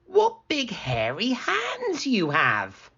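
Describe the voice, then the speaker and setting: A woman's voice: on the high side, huge pitch swings, very slow, gravelly, fairly bright tone, breathy edge, highs cut off, pitched above the performer's own voice, heavily acted. A woman voicing a sweet, trusting little girl in a storybook, wide-eyed and earnest. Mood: wondering.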